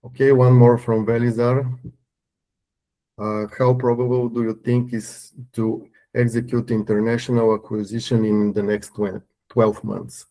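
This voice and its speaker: A man's voice: medium pitch, flat delivery, measured pace, warm tone, rough recording. A man moderating an earnings call, giving instructions and reading out submitted questions.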